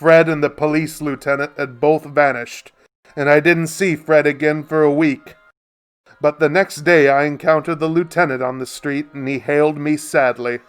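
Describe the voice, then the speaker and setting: A man playing a wry, literate storyteller, narrating a radio play in ornate, deliberate phrases. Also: on the high side, steady tone, close to an everyday voice. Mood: wry, subdued. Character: wry, literate storyteller recalling old Baltimore nightlife.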